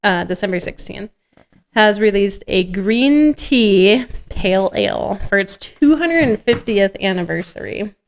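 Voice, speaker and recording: A woman's voice: medium pitch, expressive, a little slow, gravelly. A woman co-hosting a podcast, chatting casually and reading out items in a jokey, enthusiastic way. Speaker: podcast co-host. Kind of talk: casual chat with read-out news items.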